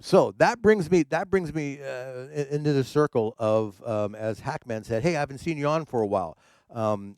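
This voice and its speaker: A man's voice: mid-range voice, a little mellow, clear, resonant tone, dry recording. Man, streaming DJ, casual chat, stumbles and repeats words, dry close studio sound. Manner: rambling, many fillers and repeated words. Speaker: streaming DJ and show host. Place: home studio.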